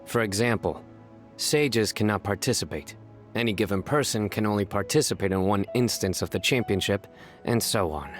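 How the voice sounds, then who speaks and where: A man voicing a stern, deadpan young judge who speaks formally and loves bad puns. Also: lowish voice, moderate pace, soft background music, close to an everyday voice. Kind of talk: video game voice acting.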